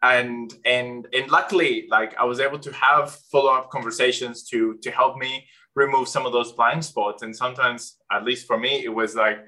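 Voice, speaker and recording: Bright-voiced, hesitant male interviewer. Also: medium pitch, fairly even intonation, normal speed, few pauses, poor audio. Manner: hesitant, many restarts and "um", "like". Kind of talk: conversational interview.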